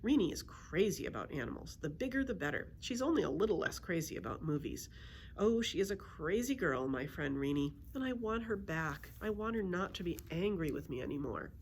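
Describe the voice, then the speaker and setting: Lady reading a kids book out loud, really animated pitch, bit of noise in the background. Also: brisk. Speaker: library story reader. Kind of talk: expressive children's book read-aloud.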